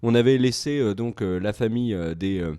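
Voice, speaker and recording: Male solo reviewer, French accent, slightly low voice, hesitant and filler-heavy delivery. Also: a little fast, warm tone, smooth. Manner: hesitant, many fillers and restarts. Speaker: video reviewer. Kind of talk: solo casual review to camera.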